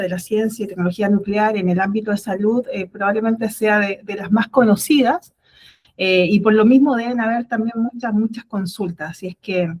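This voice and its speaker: A woman hosting an online talk on a video call, thanking the guest and audience in a loose, friendly wrap-up. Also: medium pitch. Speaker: webinar host, moderator.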